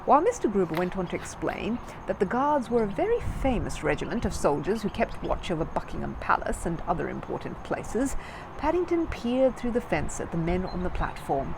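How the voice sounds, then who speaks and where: A woman's voice: mid-range voice, sing-song, a little fast, background noise. A woman narrating a children's story from a script as a storyteller.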